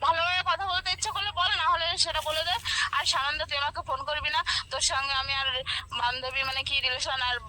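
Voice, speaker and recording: A woman's voice: high voice, fairly even intonation, fast, pushed and bright, a little hiss or hum, low-quality recording. A woman on the phone in a heated informal argument, defending herself indignantly and repeating herself. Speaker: friend receiving a prank call.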